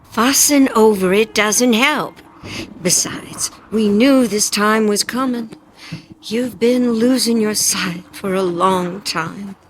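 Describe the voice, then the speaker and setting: Actress as a slow-spoken, resolute farming woman. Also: mid-range voice, slight background noise, lightly acted. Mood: resolute, soothing. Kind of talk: radio drama acting.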